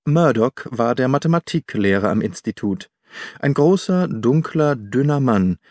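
Measured male audiobook narrator, dry studio sound. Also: medium pitch, a little mellow, no echo. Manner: measured, formal literary storytelling.

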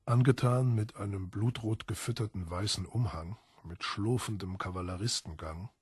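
A man's voice: on the low side, moderate pace, a little mellow, breathy edge. A man reading literary narration for an audiobook in a dramatic, scripted style.

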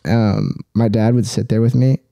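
A young adult man telling personal stories casually as a podcast guest, halting and rambling, with frequent swearing. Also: fairly low pitch, fairly even intonation, vocal fry, mellow, no echo, clean studio sound.

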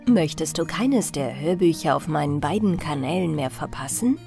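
A woman narrating a bedtime audiobook in a gentle, expressive, deliberate storytelling style. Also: deep voice, gravelly, soft background music.

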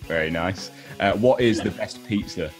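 A young man hosting a casual interview over a video call, asking relaxed conversational questions. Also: deep voice, moderate pace, faint music behind. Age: young adult. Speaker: interviewer, host. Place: indoor home room, video call.

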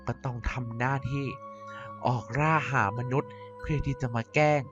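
A woman's voice: rumbling low, steady tone, moderate pace. A woman voicing a vain, mischievous female vampire queen in a Minecraft cartoon, talking in a theatrical, self-important way over faint music. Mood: scheming. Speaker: narrating YouTuber doing all voices. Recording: slightly dull with faint music.

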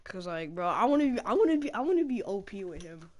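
A man giving casual, excitable commentary while playing a fighting game, shouting in fights and mumbling between rounds. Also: high-pitched, quick pace. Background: loud game music and sound effects.

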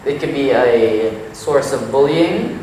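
A man's voice: medium pitch, lively intonation, slow pace, darkish tone, a little hiss or hum. A teenage boy with a Thai accent, giving frank, thoughtful answers in an interview. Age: teens. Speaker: interview guest. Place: small indoor room. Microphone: lapel mic. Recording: echoey room, distant sound.

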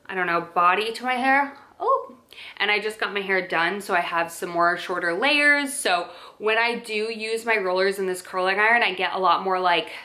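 Bright-voiced chatty young woman vlogger. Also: medium pitch, moderate pace. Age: young adult. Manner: chatty, many filler likes and ums. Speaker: lifestyle vlogger. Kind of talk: casual favorites review to camera. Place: bedroom with dresser and plant, indoors.

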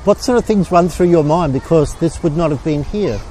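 Guy asking short interview questions outside, mellow higher voice, faint outdoor noise. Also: a little fast. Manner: brief, prompting questions. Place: outdoors in a public park.

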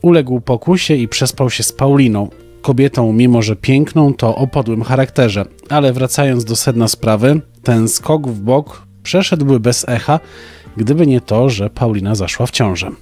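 A young man speaks as a podcast co-host, giving a prepared book review. His voice sits at an average male pitch, with music playing behind him. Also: normal speed, mellow. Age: young adult. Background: background music.

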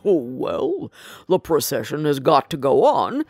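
A vain old storybook emperor, acted out by a woman, husky and pompous. His anxious, theatrical speech swings dramatically in pitch, over faint music. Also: wildly swooping pitch, a little slow, a little mellow, full character voice. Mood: resigned.

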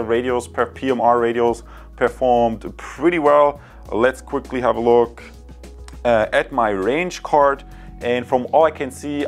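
Lowish-voiced man reviewing gadgets. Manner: friendly, addresses viewers as guys, frequent uh fillers. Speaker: tech reviewer. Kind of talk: product review to camera. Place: home room indoors.

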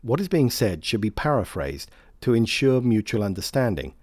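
Low-pitched male training film narrator, dry sound. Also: very animated, normal speed, a little mellow, dry recording. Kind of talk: scripted instructional narration.

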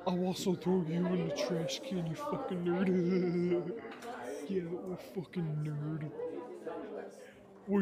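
A man playing a cocky, mocking high school jock bully in a taunting, slangy roleplay monologue. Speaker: ASMR roleplay performer. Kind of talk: improvised ASMR roleplay monologue.

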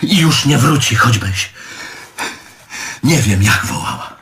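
An actor playing a jealous, self-pitying man reproaching his lover in halting, literary phrases, in theatrical stage style. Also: mid-range voice, expressive, a bit bright, husky, exaggerated voice. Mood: bitter reproach. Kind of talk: theatrical stage drama acting.

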